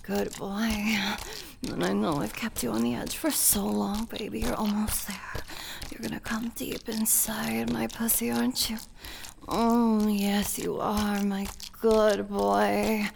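A woman playing a possessive, adoring elven princess in an intimate adult audio roleplay, breathy and moaning, often dropping into whispers. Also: medium pitch, level tone, slow, slight background noise. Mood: adoring, commanding.

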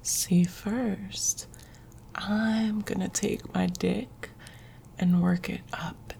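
Whispering male lover, voiced by a woman: slow, gravelly, lowish, coaxing, possessive. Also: mellow, a little hiss or hum, exaggerated voice. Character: tender but dominant male lover. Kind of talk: intimate audio roleplay.

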